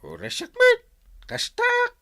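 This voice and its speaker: An actor playing an excitable village drinking buddy in an Ilocano comedy radio drama, with an Ilocano accent. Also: male voice, very high-pitched, takes it slowly, heavily acted. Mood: teasing.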